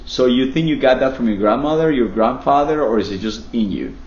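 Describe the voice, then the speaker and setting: A man from Colombia leads a relaxed interview, joking and animated, and he often repeats words as he goes. His voice sits in a middling register. The sound is dull and echoes around a room. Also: medium pitch, brisk. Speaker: interviewer, real estate team leader. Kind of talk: casual interview.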